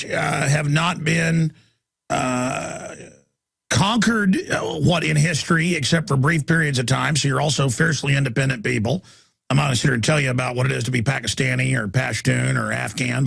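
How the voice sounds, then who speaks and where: A man hosts a call-in radio show in a gravelly, husky voice that sits a little high. He talks emphatically in long, rambling runs and often doubles back on his words. The sound is dry and close to the mic. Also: normal speed, fairly bright tone, dry recording. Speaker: radio talk show host.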